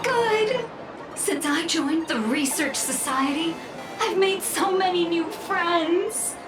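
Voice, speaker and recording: A woman voicing a gentle, earnest female robot in a video game, speaking serenely. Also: extremely high pitch, slow, reverberant, far from the mic, music in the background. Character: gentle female robot, earnest factory-made outcast who found belonging. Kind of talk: scripted video game acting.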